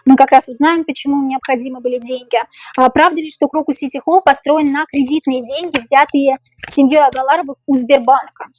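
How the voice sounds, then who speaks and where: A woman doing a tarot reading, repeating each question slowly and musing aloud over the cards, her voice tiring and going hoarse. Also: sits high, darkish tone. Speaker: tarot reader.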